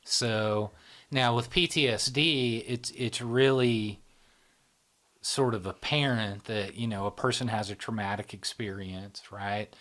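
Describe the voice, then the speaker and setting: A man who founded a channel, explaining in a relaxed casual conversation, with many fillers and restarts. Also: on the low side, a little slow.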